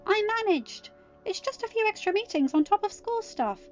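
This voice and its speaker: A woman's voice: extremely high pitch, expressive, normal speed, voice pushed up. A woman voicing an irritable, stubborn teenage girl in an audiobook, defensive, whiny and exasperated. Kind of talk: acted audiobook dialogue. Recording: dull lo-fi home recording, music underneath.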